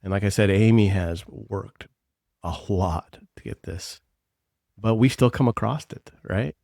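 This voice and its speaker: Man, very deep voice, gravelly, blunt teasing podcast coach, dry sound. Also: unhurried, darkish tone, no room sound. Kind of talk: casual podcast chat.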